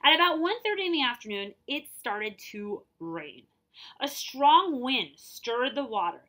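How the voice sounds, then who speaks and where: Animated, bright-voiced woman reading aloud. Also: high-pitched, measured pace. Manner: expressive, explanatory, checking in with listeners. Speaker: librarian reading a picture book aloud. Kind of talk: animated storybook read-aloud with explanatory asides.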